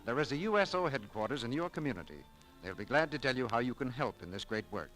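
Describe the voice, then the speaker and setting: An American man announces in polished 1940s radio style. His mid-pitched voice moves up and down with lively emphasis. Music plays faintly around him on a vintage broadcast. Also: low-quality recording. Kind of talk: 1940s radio announcing, scripted commercial narration.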